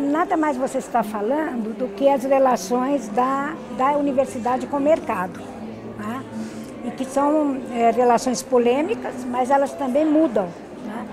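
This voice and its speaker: A Brazilian woman academic answering interview questions in an explanatory, halting way, often adding tag questions. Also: a little high, poor audio. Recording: busy crowd chatter behind. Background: crowd noise.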